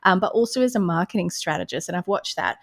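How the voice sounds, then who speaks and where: A woman's voice: lowish voice, lively intonation, fast talker. A woman hosting a podcast, interviewing in a casual, conversational and affirming way. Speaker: podcast host.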